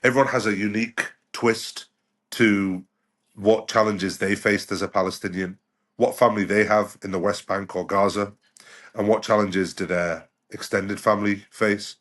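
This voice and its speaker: A man's voice: fairly low pitch, fairly even intonation, moderate pace, fairly bright tone. A middle-aged man who grew up in the UK, a doctor talking as a podcast guest in a reflective, conversational way. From United Kingdom. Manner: reflective, with frequent "you know" and restarts.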